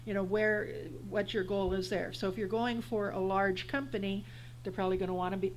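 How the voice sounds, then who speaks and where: A woman in her fifties on a discussion panel, talking straight and encouraging people to go for their goals. Her voice sits at an ordinary pitch, with a faint hum and hiss behind it. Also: fairly even intonation, fairly quick, rough recording. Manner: blunt, encouraging, gives advice.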